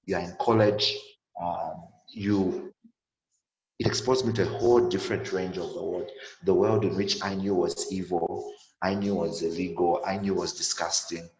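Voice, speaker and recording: Deep-voiced young man, halting, echoey. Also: steady tone, darkish tone, highs cut off. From sub-Saharan Africa. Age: 20s. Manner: halting, many repeated words and fillers. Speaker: panel guest. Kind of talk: personal story in an online panel talk.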